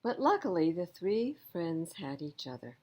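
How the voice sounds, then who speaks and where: A woman storyteller reads a picture book for children. Her voice is low, mellow and slightly husky, with expressive, lively intonation. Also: moderate pace.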